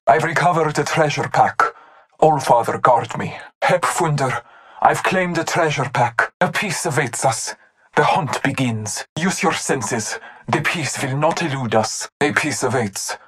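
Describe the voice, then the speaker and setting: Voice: mid-range voice, fairly bright tone, lightly acted. An actor playing a devout, honor-bound masked hunter warrior in a video game, speaking in formal, solemn callouts.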